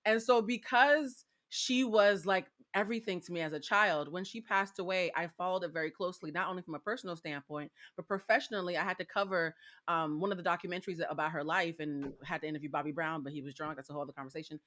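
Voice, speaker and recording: A woman with a raspy voice, chatting as a co-host on a talk show, emphatic and opinionated. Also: on the low side, fast talker, fairly bright tone. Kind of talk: casual talk-show chat.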